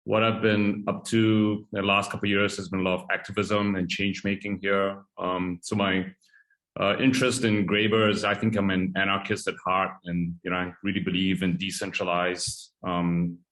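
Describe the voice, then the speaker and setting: A man's voice: sits low, level tone, moderate pace, fairly bright tone, low-quality recording. A man introducing himself on a video call, earnest and enthusiastic. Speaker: activist and book club member.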